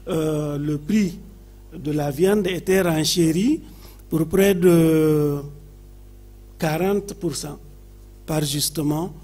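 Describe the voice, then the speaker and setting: A man from Niger with a Nigerien accent, speaking off the cuff as an adviser at a seminar, phrasing his points deliberately with frequent pauses. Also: on the high side, dark tone, breathy edge. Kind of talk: seminar intervention, unscripted public speaking.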